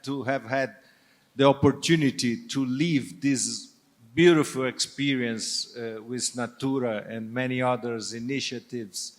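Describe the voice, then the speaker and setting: Brief: older male panel guest, Portuguese accent, slow and hesitant, stumbles and restarts, mid-pitched voice. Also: fairly even intonation. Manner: halting, hesitant, frequent restarts. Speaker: business leader guest. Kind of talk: panel discussion on stage.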